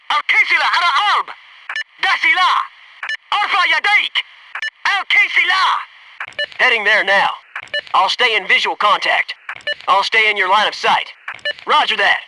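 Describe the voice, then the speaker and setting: A man's voice: extremely high pitch, background noise. A male actor playing a loyal, wisecracking special forces soldier in a military shooter game, speaking in clipped military phrases. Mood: commanding shouts.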